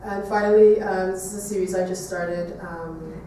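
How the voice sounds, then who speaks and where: A woman from Trinidad giving an artist talk to an audience, speaking reflectively with hesitant pauses. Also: mid-range voice, fairly even intonation, warm tone. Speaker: visual artist giving a presentation. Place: echoey room, talk to an audience. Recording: echoey room, distant mic, faint noise.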